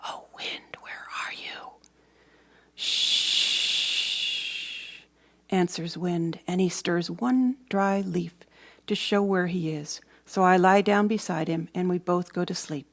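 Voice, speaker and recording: A woman reading a storybook aloud for children, with dramatic emphasis and hushed moments. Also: fairly low pitch, a little slow. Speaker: storybook reader. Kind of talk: expressive children's read-aloud narration.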